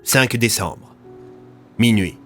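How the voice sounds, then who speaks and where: A man's voice: on the low side, very slow, loud music behind the voice. A man narrating a scripted audio fiction serial as a dramatic storyteller.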